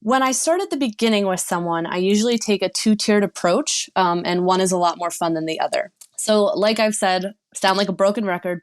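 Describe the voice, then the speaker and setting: Woman guest coach, lowish voice, earnest explainer, casual interview. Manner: earnest, explanatory, uses fillers. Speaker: sex and relationship coach guest.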